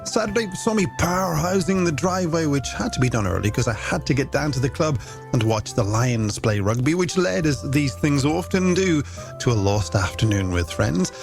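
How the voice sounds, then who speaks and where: An Irish man hosts a music radio show in a slightly high voice with a creaky edge. His delivery is scripted and playful, full of wordy, alliterative patter. Faint music plays underneath him. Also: expressive, normal speed. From Ireland. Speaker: radio host. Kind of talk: scripted late-night music radio presenting.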